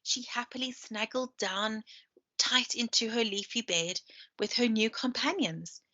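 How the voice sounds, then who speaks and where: A woman's voice: on the high side, a little slow, pushed and bright. A woman teacher doing a read-aloud story time for children, chatting and explaining the pictures as she goes, over a computer microphone. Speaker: primary school teacher. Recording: dull lo-fi screen-share recording.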